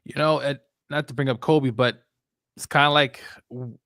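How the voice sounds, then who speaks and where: A man's voice: medium pitch, fairly even intonation, normal speed, a bit bright, no echo, studio quality. Man in reflective, casual sports talk. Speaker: sports show co-host.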